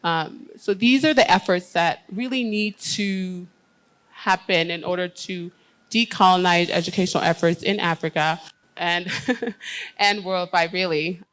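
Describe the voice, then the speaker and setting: An American woman speaking on a conference panel. Her voice sits a little low, and she talks in an earnest, steady way, often pausing on "um" as she builds her points. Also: low-quality recording. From United States. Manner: earnest, steady, with frequent "um" fillers. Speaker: panel speaker, education leader.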